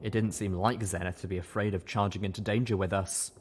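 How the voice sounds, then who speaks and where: A man's voice: sits low, steady tone, brisk, slight background noise. A man reading a story aloud as first-person narration.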